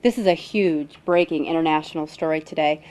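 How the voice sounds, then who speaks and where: American female news host and interviewer, lower-pitched voice, webcast delivery. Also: a little fast, darkish tone, a little hiss or hum. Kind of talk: news interview with scripted intro. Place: newsroom.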